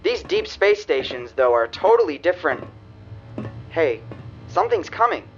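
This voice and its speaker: Radio play cadet engineer: youthful, good-natured, earnest, high and very expressive. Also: male voice, normal speed, lo-fi sound. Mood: thoughtful. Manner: earnest, reasoning. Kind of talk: radio drama acting. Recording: music under dialogue.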